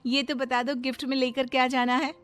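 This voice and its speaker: A woman's voice: high voice, level tone, a little fast, pitched above the performer's own voice, close to an everyday voice. A woman voicing a boastful, chatty young daughter-in-law who exaggerates airily in a cartoon moral story. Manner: bragging, dismissive, exaggerating. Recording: music behind the voice.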